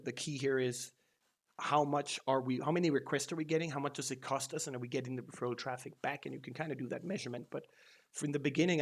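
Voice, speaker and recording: A man hosting a casual news roundup on his channel, talking loosely, with many ums and false starts. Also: mid-range voice. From Denmark. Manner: loose and rambling, lots of ums and restarts. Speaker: SEO news channel host.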